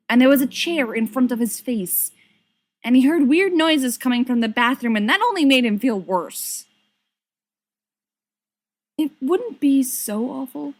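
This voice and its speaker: A woman reading a story aloud as an expressive narrator. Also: a little high. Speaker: volunteer audiobook reader. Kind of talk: expressive scripted fiction narration.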